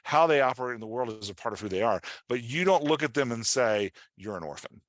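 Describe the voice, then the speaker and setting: A man giving an informal workshop as a writing coach, talking in an animated, conversational way and often checking in with his listeners. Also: medium pitch, fast, no room sound. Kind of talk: informal online workshop presentation.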